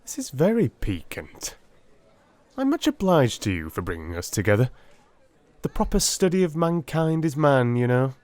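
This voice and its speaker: Man acting a wry, curious gentleman army doctor. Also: medium pitch, very animated, normal speed, no room sound, voice pushed up, lightly acted. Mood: delighted, intrigued. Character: weary but curious young ex-army doctor, polite, droll. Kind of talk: theatrical audiobook character acting.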